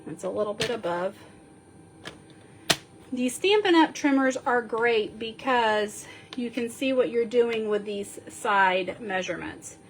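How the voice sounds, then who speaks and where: A woman sniffling a little as she slowly talks through a card-making tutorial, counting measurements aloud while she works. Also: on the high side, slight background noise. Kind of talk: step-by-step crafting tutorial.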